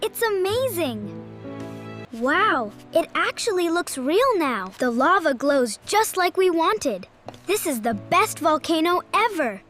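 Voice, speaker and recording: Woman as eager cartoon schoolboy, high voice, very lively intonation, earnest, kind-hearted. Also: slow, faint music behind, full character voice. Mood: excited, encouraging. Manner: earnest, exclamatory. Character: excitable, eager, kind-hearted schoolboy building a science project. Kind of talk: children's cartoon story dialogue.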